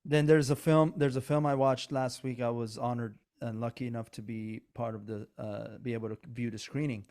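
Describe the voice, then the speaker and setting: A man's voice: mid-range voice, fairly even intonation, fairly quick, darkish tone. Earnest rambling man with a Persian accent. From Iran. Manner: earnest, rambling, many restarts. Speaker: podcast co-host. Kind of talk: casual political chat.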